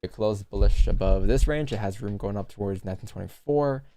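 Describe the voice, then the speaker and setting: Gravelly young man chatting to a livestream. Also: fairly low pitch, fairly quick, dark tone. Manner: loose, joking, talks straight to chat. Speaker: livestream trading host. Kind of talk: casual live commentary to chat.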